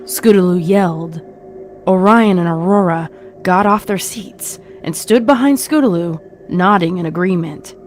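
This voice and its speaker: Low-voiced woman narrating a horror story. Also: unhurried, a little hiss or hum.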